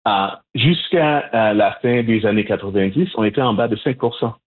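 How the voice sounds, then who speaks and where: A man from Montreal, answering interview questions in a measured, hesitant way with frequent restarts. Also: lowish voice. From Montreal, Canada. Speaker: guest, Haitian community organizer. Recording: phone-line audio, husky.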